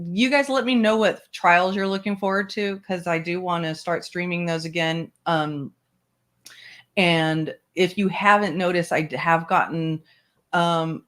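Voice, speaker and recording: A woman with a slightly low voice hosts a casual online book club stream. She rambles in an animated way and laughs often, chatting through a home streaming mic. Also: a little slow. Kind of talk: casual livestream chat.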